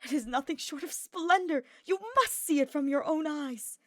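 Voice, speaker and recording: A woman's voice: high-pitched, normal speed, pushed and bright, no echo, clean studio sound, pitched above the performer's own voice. A woman voicing an exuberant, earnest young male knight with effusive, florid speech in a story reading. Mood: awestruck, exuberant. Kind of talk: dramatised fiction reading.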